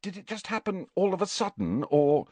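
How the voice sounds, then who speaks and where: A man voicing a gentle, sensible and caring teenage schoolgirl in a storybook reading. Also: fairly high pitch, big pitch swings, normal speed, breathy edge, no room sound, pitched above the performer's own voice. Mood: concerned. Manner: gentle, careful.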